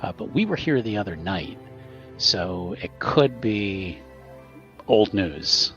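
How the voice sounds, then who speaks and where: A man voicing a polite, hesitant gentleman adventurer in a tabletop roleplaying game, speaking in a halting way. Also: fairly low pitch, lively intonation. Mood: earnest, halting. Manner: halting, courteous. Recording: muffled mic, music behind.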